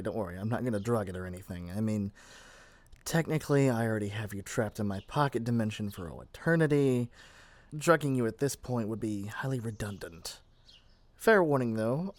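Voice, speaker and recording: A man's voice: medium pitch, darkish tone, no room sound, lightly acted. A man playing a lovestruck, possessive young male witch in an ASMR roleplay, chatty and gushing with flustered hesitations. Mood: teasing, affectionate. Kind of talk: ASMR roleplay addressed to the listener.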